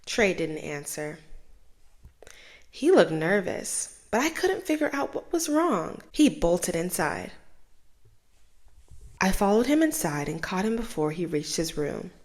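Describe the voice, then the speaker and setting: A young woman host reading a children's book aloud with expressive, animated storytelling. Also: fairly low pitch, a little slow, pauses often.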